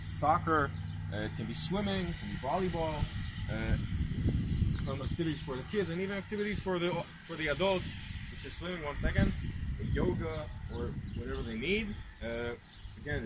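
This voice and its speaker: A man's voice: fairly high pitch, lively intonation, brisk. A young man, a tour guide explaining to a seated group on a park lawn and asking them questions. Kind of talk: outdoor guided tour talk. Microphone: handheld camera held close by the filmer. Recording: outdoor noise, birds and passing vehicles.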